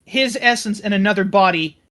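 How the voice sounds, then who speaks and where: A man in casual tabletop game talk, explaining things in a deliberate, conciliatory way. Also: high voice, pushed and bright.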